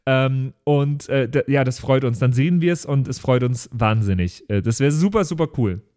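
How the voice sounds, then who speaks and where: A man's voice: mid-range voice, animated, moderate pace, a little mellow. A man hosting a podcast, chatting casually in a joking, informal way. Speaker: podcast host and game master. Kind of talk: casual podcast outro chat.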